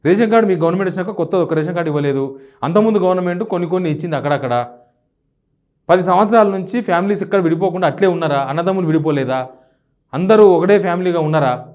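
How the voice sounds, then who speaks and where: A man's voice: sits high, darkish tone. A man with a Telangana Telugu accent giving opinionated news commentary, firing off indignant rhetorical questions.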